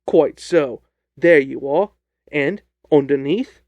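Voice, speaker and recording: Blustering Victorian poultry seller, cocksure and combative, raised forceful delivery performed by a male narrator; storybook character. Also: a little high, slow pace, no room sound. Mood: smug, insistent. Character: surly, cocksure Victorian poultry salesman, quick to anger. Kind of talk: audiobook character voice.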